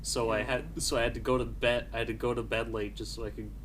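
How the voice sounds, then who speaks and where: A man's voice: medium pitch, flat delivery, fast talker, a bit bright, a little hiss or hum. A man hosting and editing a casual podcast, rambling and self-deprecating as he chats. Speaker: podcast host and editor. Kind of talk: casual chat.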